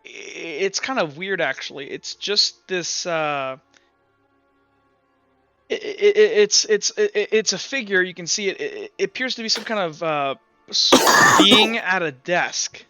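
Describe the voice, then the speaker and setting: Bright, clear-voiced man running a tabletop game. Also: high-pitched, lively intonation, unhurried, no echo, highs cut off, faint music behind. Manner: loose, rambling descriptions full of "like". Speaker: game master.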